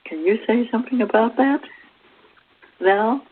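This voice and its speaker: A woman presenting a spiritual talk in a measured, read-aloud narrating style over a tinny, phone-like radio line. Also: fairly high pitch, a little hiss or hum.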